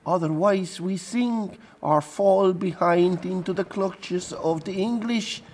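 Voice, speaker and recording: A man's voice: high voice, slow, darkish tone, a little hiss or hum. An actor playing a disreputable ship's pilot in an audio play, pleading and whining in fear for his life. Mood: panicked pleading.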